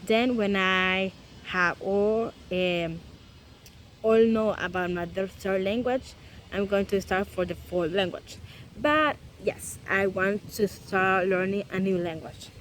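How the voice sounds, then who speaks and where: A young woman from El Salvador with a Spanish accent, speaking English in a casual, earnest vlog full of pauses and "eh" fillers. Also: mid-range voice, slight background noise, low-quality recording. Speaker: vlogger.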